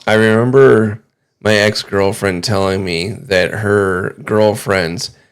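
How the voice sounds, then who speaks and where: A man casually chatting as host of a livestream, rambling and halting in an amused way. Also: lowish voice, steady tone, clear, resonant tone. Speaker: livestream host reacting to videos.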